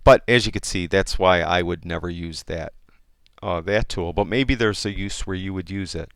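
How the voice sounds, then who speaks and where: A man explaining a photo editing program in a casual, rambling tutorial, with many fillers and restarts, and a voice that is getting hoarse. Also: deep voice, normal speed, dry recording, studio quality. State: losing his voice. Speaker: software tutorial instructor. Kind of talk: casual screen-recorded tutorial.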